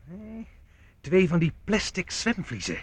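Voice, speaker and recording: An actor playing a brash, wry, swaggering adventurer in a radio drama. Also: male voice, sits high, big pitch swings, unhurried, husky, no echo, exaggerated voice. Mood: animated, pleased. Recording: noisy old radio play recording.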